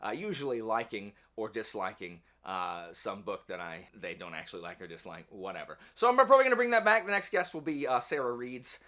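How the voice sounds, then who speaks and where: A man's voice: on the low side, sing-song, a little fast, hardly any pauses, pushed and bright. A man chatting casually to camera as a channel host, rambling along in a wry, self-deprecating way. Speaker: YouTube book channel host. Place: indoor home office.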